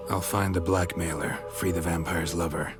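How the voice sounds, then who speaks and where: A man playing a stoic, world-weary monster hunter in a video game, speaking in terse, deadpan phrases. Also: low-pitched, moderate pace, over loud music, natural voice. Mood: calm, matter-of-fact.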